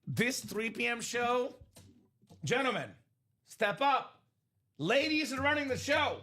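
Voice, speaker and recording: High, lively, bright-voiced man hosting. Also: slow pace. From Bulgaria. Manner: upbeat, joking, shouts out buyers by name. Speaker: livestream sports card break host. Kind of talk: casual live-stream sales chat.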